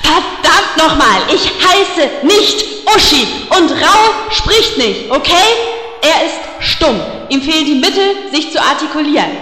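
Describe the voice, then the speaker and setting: A woman voicing a vain, haughty female elf priestess in a parody audio drama, prim and quick to curse. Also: high-pitched, animated, bright, forceful tone, exaggerated voice. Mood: furious. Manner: prim, quick-tempered, bursts into cursing. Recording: echoey room reverb.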